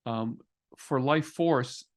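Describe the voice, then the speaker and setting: A man hosting a casual discussion, explaining and giving practical pointers in a halting way with repeated phrases. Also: medium pitch. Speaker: show host.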